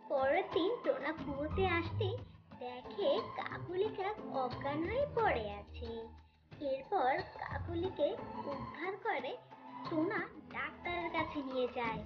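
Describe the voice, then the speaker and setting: A woman's voice: sits high, lively intonation, a little slow, muffled recording. A woman narrating a scripted children's cartoon story as the storyteller. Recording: music playing behind voice.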